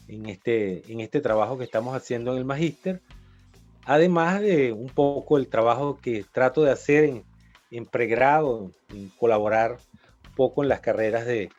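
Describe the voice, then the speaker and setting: A man's voice: medium pitch, normal speed, a little mellow. Male academic guest, hesitant speech full of fillers, muffled recording, faint music. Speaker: university lecturer guest. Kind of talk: conversational interview answer.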